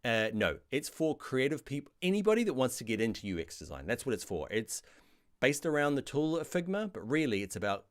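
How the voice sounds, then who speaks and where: A middle-aged man with a New Zealand accent, answering viewer questions in a casual live stream about design software. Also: mid-range voice, a little fast. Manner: chatty, reads viewer questions aloud, animated asides. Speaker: online design course instructor.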